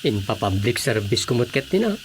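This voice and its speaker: A man's voice: mid-range voice, very animated, moderate pace, a little hiss or hum, close to an everyday voice. A man acting a concerned, helpful village councilman in a conversational radio drama. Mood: explaining, concerned.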